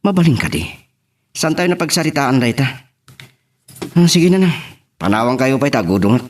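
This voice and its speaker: A man's voice: mid-range voice, very animated, normal speed, a little hiss or hum, lightly acted. A man acting a devoted farmer husband in an audio drama, with an Ilocano Filipino accent. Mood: defensive. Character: devoted husband, a farmer, defending his absent wife.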